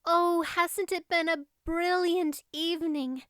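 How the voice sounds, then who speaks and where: A woman's voice: extremely high pitch, fairly even intonation, takes it slowly, a bit bright, dry recording, studio quality, voice pushed up, exaggerated voice. A woman voicing a dreamy, dramatic thirteen-year-old girl in an audiobook, gushing and romantic. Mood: rapturous. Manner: breathless, gushing, lofty at times. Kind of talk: audiobook character voice.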